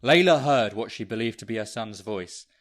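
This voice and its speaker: A man's voice: fairly low pitch, expressive, normal speed, a bit bright. Hard, cynical, commanding man. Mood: cold, cynical. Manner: blunt, commanding. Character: hard, cynical, domineering man taking control in a crisis. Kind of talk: audio drama acting.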